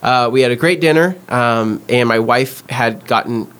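An American man co-hosting a podcast, telling personal stories casually in an animated, conversational way. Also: medium pitch, moderate pace, clear, resonant tone. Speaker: podcast co-host. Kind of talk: casual chat, personal storytelling.

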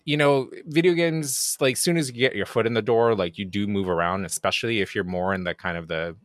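A middle-aged man from Japan with a very low voice, a native Japanese speaker talking in English. He chats easily with a self-deprecating humor and plenty of fillers. The sound is close and dry, with no room echo. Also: a little fast. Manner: relaxed, self-deprecating, many fillers and restarts. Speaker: podcast guest, game producer. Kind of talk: casual interview chat.

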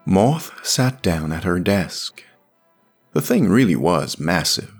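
Deep-voiced man narrating audio fiction. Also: breathy edge.

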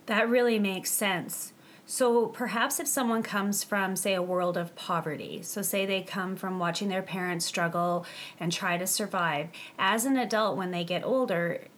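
A woman's voice: mid-range voice, measured pace, a little hiss or hum. A woman hosting an interview show, welcoming her guest and leading the talk with questions. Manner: warm welcoming, leads with questions. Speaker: host.

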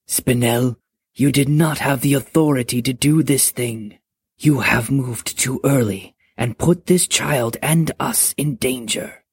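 A woman voicing a huge, stern male dragon parent, slow and commanding, in a deep gravelly near-whisper. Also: dry recording, full character voice. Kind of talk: audiobook character voice.

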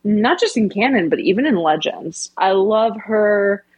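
A woman co-hosting a podcast, giving measured, analytical and opinionated takes. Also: medium pitch, animated, darkish tone, husky, lo-fi sound. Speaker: podcast co-host. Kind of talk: casual discussion.

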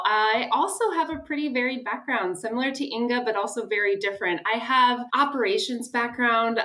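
Bright-voiced professional woman from the American Midwest. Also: mid-range voice. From Midwestern United States. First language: English. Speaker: guest, sales executive. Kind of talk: professional self-introduction in an interview.

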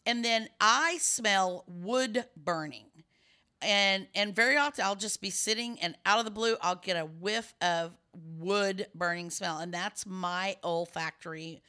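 Scratchy-voiced woman, bright and rambling. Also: mid-range voice, unhurried. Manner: rambling, explanatory, many restarts. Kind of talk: casual chat.

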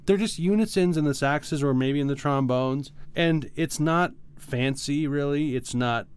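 A man's voice: fairly high pitch, measured pace, smooth. A man co-hosting a podcast, casually explaining things in a patient, teacherly way with examples. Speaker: podcast co-host, music arranger and teacher.

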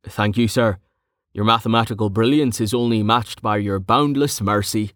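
A man voicing a dryly witty, formal assistant with a deadpan manner in an audiobook. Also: lowish voice, normal speed, no room sound, clean studio sound, lightly acted. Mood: dry, teasing.

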